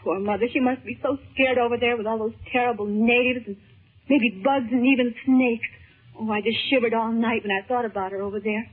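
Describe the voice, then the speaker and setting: A woman's voice: on the high side, steady tone, a little fast, lightly acted. A woman playing an anxious, tender-hearted teenage daughter in a 1930s radio serial, girlish, earnest and fretful. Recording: muffled, tinny 1930s radio recording.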